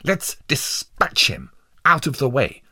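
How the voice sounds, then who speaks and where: A man's voice: medium pitch, wildly swooping pitch, fairly quick, bright, forceful tone, breathy edge, pitched above the performer's own voice, exaggerated voice. A man voicing vindictive jurymen in a storybook, exclaiming and snarling with spite. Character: vindictive male jurymen baying for a hanging.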